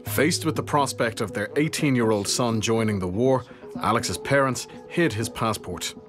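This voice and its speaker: A man's voice: medium pitch, normal speed, music in the background. A man reading a scripted news report as narration.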